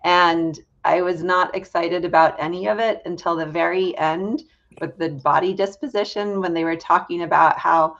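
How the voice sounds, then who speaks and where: A middle-aged woman hosting a casual interview, with informal, halting phrasing. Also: lowish voice, fairly even intonation, fairly bright tone, highs cut off. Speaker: podcast host.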